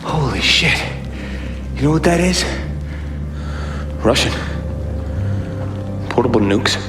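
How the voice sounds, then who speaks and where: An actor playing a tense, urgent soldier in a military game cutscene. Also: male voice, mid-range voice, animated, takes it slowly, reverberant, music in the background. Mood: alarmed, urgent. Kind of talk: cinematic military game acting.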